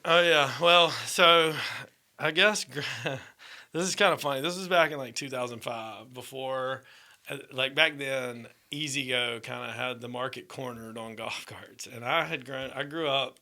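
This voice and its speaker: A man's voice: mid-range voice, fairly even intonation, unhurried, sharp tone. A man, telling a story in a rambling way with frequent laughs on a podcast. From Georgia, USA. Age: 30s. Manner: rambling, laughs often, restarts. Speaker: podcast co-host. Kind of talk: casual storytelling.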